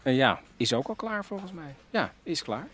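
A husky junior staffer in a radio comedy. He sounds offhand and puzzled, with lively swings in pitch. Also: moderate pace, heavily acted. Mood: baffled, offhand.

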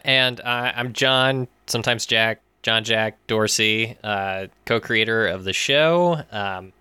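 A man's voice: fairly low pitch, bright, forceful tone, smooth, no room sound. A wry, self-deprecating man chatting casually as a guest in a podcast interview. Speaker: podcast guest, show co-creator.

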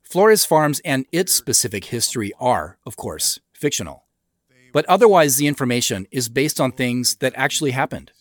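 Guy doing director's commentary, scripted n explanatory, lively intonation, close dry mic no room sound. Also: mid-range voice, moderate pace, dry recording. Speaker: show creator and commentator.

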